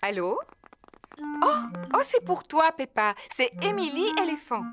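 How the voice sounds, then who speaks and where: A woman voicing a gentle mother pig in a French cartoon dub, explaining things in a motherly way. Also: high-pitched, sing-song, measured pace. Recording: music behind the voice.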